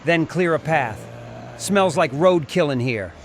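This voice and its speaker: A man playing a steady, practical army sergeant in a horror audio comic. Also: a little high, measured pace. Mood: firm. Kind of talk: dramatic audio comic acting. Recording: noisy vehicle sound effects behind.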